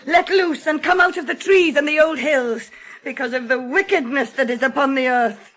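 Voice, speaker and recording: A woman's voice: high-pitched, normal speed, a bit bright. An actress playing a crazed, grief-stricken woman prophesying doom in a radio drama. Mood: crazed, doom-laden.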